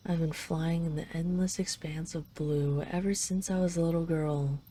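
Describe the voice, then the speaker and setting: Girl doing a dragon queen for roleplay audio, tender but possessive, teasing, lowish soft-edged mellow voice. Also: steady tone, normal speed, a little hiss or hum, lightly acted. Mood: wistful, teasing. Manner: teasing, intimate, coaxing. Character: possessive yet tender dragon queen doting on her captive. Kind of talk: intimate roleplay audio addressed to the listener.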